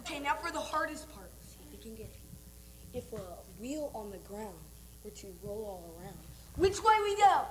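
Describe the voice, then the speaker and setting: A woman's voice: fairly high pitch, very animated, normal speed. A young woman in an amateur stage play, acting a pompous, giggly, pondering wizard. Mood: theatrical. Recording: echoey, noisy amateur stage recording.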